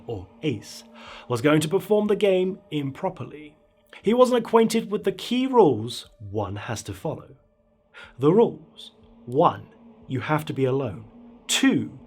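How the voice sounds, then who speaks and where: A man with a husky voice narrating a horror story in a theatrical, conspiratorial way, speaking straight to the listener. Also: medium pitch, darkish tone. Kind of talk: dramatic scary story narration.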